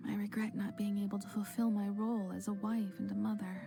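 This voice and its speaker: A woman voicing a kindly, soft-spoken young mother who talks politely and reassuringly, over game music. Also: mid-range voice, little pitch movement, brisk, creaky, lightly acted. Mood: gentle. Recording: game audio with music behind.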